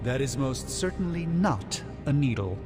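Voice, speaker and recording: An actor playing a haughty, wary sorcerer doctor with formal, precise speech in a video game cutscene. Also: male voice, medium pitch, expressive, moderate pace, music in the background, natural voice. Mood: wary disdain.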